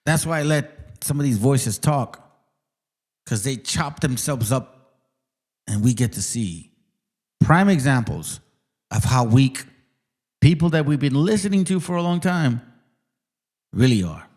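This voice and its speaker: A man's voice: mid-range voice, lively intonation, measured pace, pauses often, darkish tone. A man giving scornful, mocking reaction commentary as a channel host, with blunt and profane wording.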